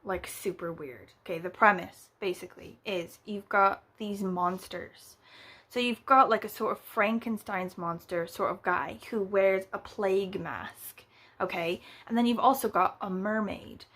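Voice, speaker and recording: A woman's voice: mid-range voice, a little slow, a bit bright. A British woman in her thirties, chatting at home on camera as a book vlogger, rambling with lots of fillers and casual swearing. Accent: British English. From United Kingdom. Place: at home, indoors.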